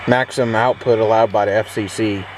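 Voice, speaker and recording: American man, lowish voice, casual vlog demo, rambling, loud background noise with radio static in a truck. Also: fairly even intonation, a little fast. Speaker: vlogger demonstrating an old CB radio. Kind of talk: casual narrated demonstration. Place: inside a parked pickup truck. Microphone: handheld camera, speaker off screen. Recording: very noisy, radio static and vehicle ambience.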